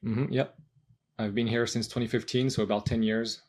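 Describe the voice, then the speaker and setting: A man's voice: mid-range voice, flat delivery, normal speed. A French man in his thirties with a French accent, speaking English as a second language and casually telling his own story as a podcast guest. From France. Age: 30s.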